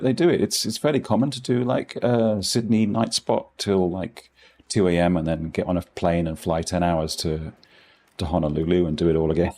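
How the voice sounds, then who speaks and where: Deep gravelly posh English man. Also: mellow. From England. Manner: rambling, self-deprecating, dry humour. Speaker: gaming streamer. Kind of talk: casual gameplay commentary chatting with viewers.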